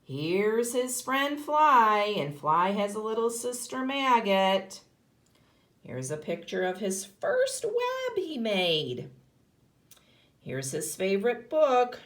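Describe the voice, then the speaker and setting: A woman kindergarten teacher reading a picture book aloud expressively to young children, pausing to ask them questions. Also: medium pitch, wildly swooping pitch, a bit bright. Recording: roomy, echoey sound.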